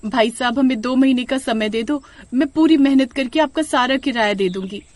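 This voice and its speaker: A woman's voice: sits high, moderate pace, darkish tone, no room sound, pitched above the performer's own voice, lightly acted. A woman voicing a plucky, sensible village wife in a horror story, with a pleading, chiding manner.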